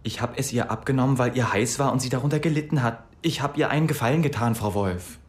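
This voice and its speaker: An actor playing a defensive high-school student in a radio drama, justifying himself to his teacher. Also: fast, lightly acted. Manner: defensive, pleading.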